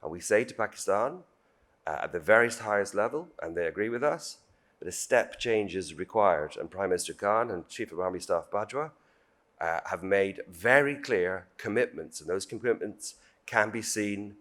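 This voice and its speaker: A British man speaking as a government official on a panel, measured and diplomatic, repeating phrases for emphasis. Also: fairly low pitch, animated, a bit bright. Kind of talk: formal panel remarks.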